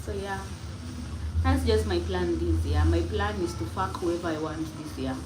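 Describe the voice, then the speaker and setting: A woman's voice: fairly low pitch, moderate pace. Defiant Kenyan woman on a phone livestream. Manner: defiant, emphatic, repeats herself. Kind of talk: casual livestream monologue. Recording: echoey room with clear background noise.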